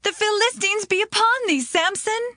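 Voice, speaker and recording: An actress playing a seductive, insistent temptress in a Bible audio drama, pleading in archaic language. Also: female voice, extremely high pitch, moderate pace, bright, forceful tone, no echo, clean studio sound. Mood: urgent alarm.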